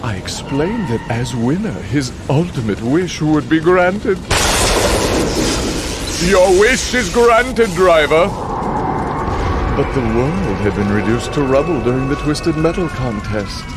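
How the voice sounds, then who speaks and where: Sinister game villain, gloating, theatrical narration, widely swinging pitch, loud music behind. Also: male voice, lively intonation. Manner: dramatic, gloating, drawn-out delivery. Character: sinister, gloating male host of a deadly car contest.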